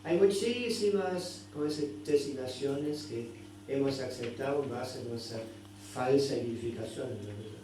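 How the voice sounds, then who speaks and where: A man giving a scripture class, often pausing to search for words and checking in with his listeners with a questioning tag. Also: mid-range voice. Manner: searching for words, constant "¿no?" tags. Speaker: spiritual teacher. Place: indoor temple hall. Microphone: microphone at a distance. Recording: very echoey hall, distant mic, faint noise.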